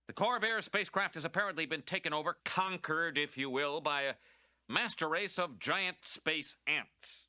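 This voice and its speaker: An actor playing a pompous, cowardly middle-aged TV news anchor in a cartoon, with a solemn, halting delivery. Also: male voice, on the high side, bright, forceful tone, dry recording, clean studio sound. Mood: grave, solemn.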